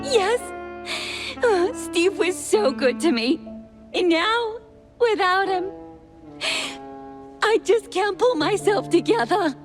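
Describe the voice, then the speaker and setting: A squeaky, extremely high female cartoon mouse, sobbing with melodrama. She drags her words slowly as a distraught magician's assistant, with faint music behind. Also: lively intonation, full character voice. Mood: weepy, distraught. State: crying.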